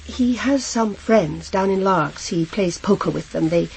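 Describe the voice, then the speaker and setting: An actress playing a shocked, hesitant, proper middle-aged housewife who has been widowed, in a naturalistic radio drama. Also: female voice, medium pitch, vocal fry, a little mellow, slight background noise, poor audio. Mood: shocked, bewildered. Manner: halting, flustered, repeats herself. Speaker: actor in a crime drama.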